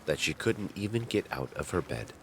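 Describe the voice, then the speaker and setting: A man narrating a fairy tale in a measured, dramatic storytelling manner. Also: low voice, big pitch swings, slight background noise, low-quality recording.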